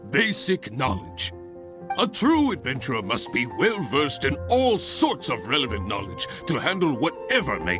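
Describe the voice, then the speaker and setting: An actor playing a hearty, encouraging guild examiner in a video game, with a jovial, theatrical manner. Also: male voice, mid-range voice. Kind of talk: video game character acting.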